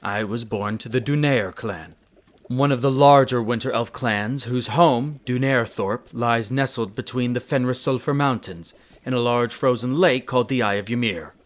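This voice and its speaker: A man's voice: medium pitch, lightly acted. A man playing a proud, prickly winter elf archaeologist who talks formally and haughtily in a scripted audio roleplay addressed to the listener. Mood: reluctant, bitter. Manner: haughty, formal, exasperated, theatrical pauses.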